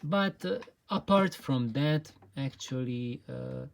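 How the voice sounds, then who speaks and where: A host giving an informal explainer talk in a halting, hesitant way, with frequent pauses and repeated words. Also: medium pitch, animated, vocal fry, slight background noise. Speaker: host of an audio gear channel.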